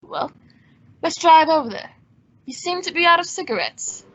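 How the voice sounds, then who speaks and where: A woman playing a cool, shrewd gentleman sleuth with a calm, wry manner in an old-time radio drama. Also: very high voice, moderate pace, soft background music. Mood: wry, friendly. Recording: dull, muffled lo-fi audio.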